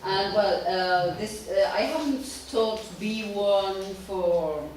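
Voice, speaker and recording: A woman's voice: mid-range voice, slow pace, a little mellow. A woman speaking hesitantly as a language teacher introducing herself informally at a workshop. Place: classroom. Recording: distant mic, very echoey, noisy.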